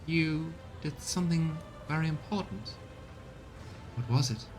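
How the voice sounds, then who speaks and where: A man voicing an eerie machine hive-mind that speaks through servitors, measured, solemn and detached, in a video game. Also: soft background music, natural voice. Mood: eerie calm. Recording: low rumbling background noise.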